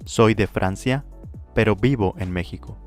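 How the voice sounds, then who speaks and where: Low-voiced man teaching Spanish drills. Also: faint music behind. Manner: clear, slow model pronunciation with pauses to repeat. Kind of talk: language lesson with drills.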